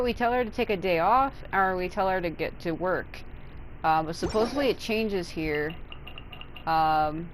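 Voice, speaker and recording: A woman's voice: fairly low pitch, slight background noise, low-quality recording. A woman giving casual, unscripted gameplay commentary, thinking aloud in a rambling way and swearing casually. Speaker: video game streamer.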